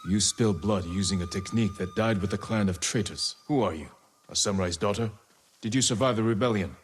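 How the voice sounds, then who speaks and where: Guy playing stoic samurai lord, real low voice, terse and commanding, game cutscene w music going. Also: fairly quick, close to an everyday voice. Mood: solemn. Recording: loud cinematic music behind. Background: game score music.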